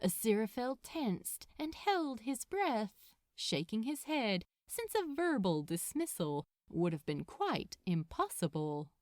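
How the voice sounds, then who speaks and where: A woman reading fiction aloud as a narrator, in an expressive storytelling style. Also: a little high, slow pace, few pauses, no room sound, clean studio sound. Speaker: podfic narrator.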